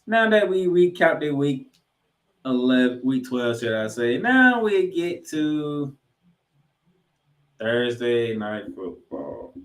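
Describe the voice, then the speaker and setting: A man's voice: medium pitch, sing-song, slow. A man hosting a sports podcast, giving recap commentary with stats and emphatic delivery of names and numbers. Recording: roomy, echoing room sound.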